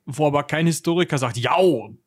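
A man's voice: on the high side, moderate pace, dry recording. A man discussing history as a podcast co-host in a casual, colloquial way, with slangy turns and joking asides.

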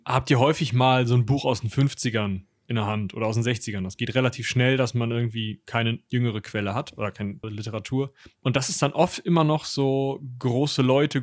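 A man co-hosting a relaxed history talk. He speaks colloquially, dropping slang and jokey asides into his explanations. The sound is dry, with a mid-pitched voice. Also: dry recording. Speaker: history podcast co-host. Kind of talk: casual conversational history discussion.

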